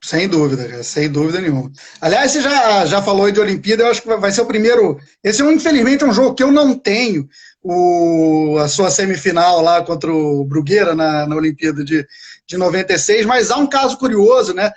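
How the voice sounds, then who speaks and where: A man's voice: high voice, lively intonation, a little fast. A man with a Brazilian accent hosting a casual interview, asking long, meandering questions in a hesitant way. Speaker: interviewer, live stream host.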